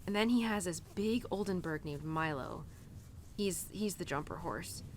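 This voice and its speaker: Woman as young cowgirl, independent and conflicted; animated, informal, intimate monologue for audio roleplay, some background noise. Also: on the low side, unhurried. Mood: fond, gossipy. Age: young adult. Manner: chatty, hesitant, informal, peppered with "like" and "you know". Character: young cowgirl venting to her horse, conflicted and stubbornly independent.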